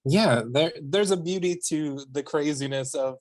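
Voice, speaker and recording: Dry-humored young man, slightly high voice. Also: measured pace, darkish tone, dry recording. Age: 20s. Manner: dry, self-mocking humor. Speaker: podcast guest. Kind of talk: casual chat.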